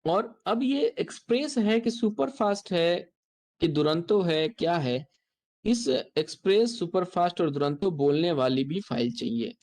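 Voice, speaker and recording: Step-by-step Indian software instructor. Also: mid-range voice, lively intonation, a little slow. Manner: explanatory, step-by-step, repeats points. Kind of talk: software tutorial lecture.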